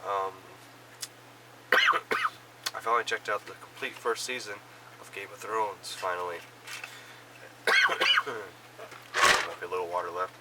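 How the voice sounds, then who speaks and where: A man with a cold, hoarse and coughing, casually rambling through a recap of the films and shows he watched. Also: low voice, fairly even intonation, slow pace, pauses often, distant mic, heavy background noise. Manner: rambling, many fillers and restarts. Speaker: vlogger reviewing films and shows.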